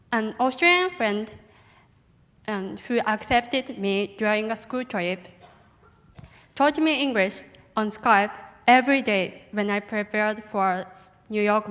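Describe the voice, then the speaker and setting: A teenage girl, a native Japanese speaker, giving a careful, halting self-introduction in English as a student panelist. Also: mid-range voice, a bit bright. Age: teens. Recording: echoey hall.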